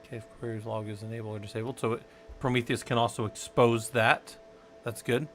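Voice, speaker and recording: A man's voice: medium pitch, flat delivery, fairly quick, faint music behind. A man from the Southwest United States rambling and thinking aloud while teaching a casual live-stream tech tutorial, chatting with viewers as he works.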